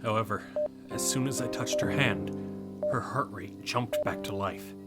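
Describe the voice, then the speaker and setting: Haunted male reaper, played by an actor, lowish voice, subdued first-person audio drama narrator, prominent music underneath. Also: normal speed, lightly acted. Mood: grave narrating. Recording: music behind the voice.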